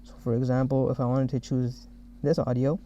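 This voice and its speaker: Man, mellow mid-pitched voice, developer walking through a software demo, slightly muffled lo-fi recording. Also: level tone, fairly quick, slight background noise. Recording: dull, muffled lo-fi audio.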